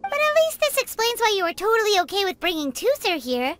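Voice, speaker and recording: A woman voicing a tiny, outspoken, inquisitive fairy companion girl in a video game, with a squeaky childlike voice. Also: very animated, a little fast, a bit bright, exaggerated voice. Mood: indignant, questioning. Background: game music.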